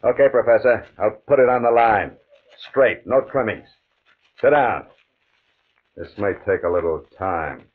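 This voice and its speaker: A man's voice: on the low side, lively intonation, unhurried. A man playing a blunt, businesslike tough character in a 1950s radio drama, speaking in clipped phrases. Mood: blunt, no-nonsense. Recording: muffled, band-limited old radio recording.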